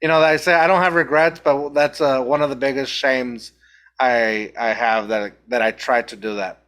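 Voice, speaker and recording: A man's voice: medium pitch, steady tone, normal speed, fairly bright tone. A young man from Mexico with a Mexican accent, hosting a podcast in a casual, reflective chat and often restarting his sentences. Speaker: podcast host.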